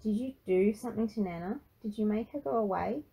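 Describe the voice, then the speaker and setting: A woman's voice: medium pitch, brisk, vocal fry, mellow, husky, pitched above the performer's own voice, poor audio. A woman voicing a frightened, angry young boy in a storybook, pleading and defiant with his grandfather. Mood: accusing. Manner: pleading, stuttering when alarmed.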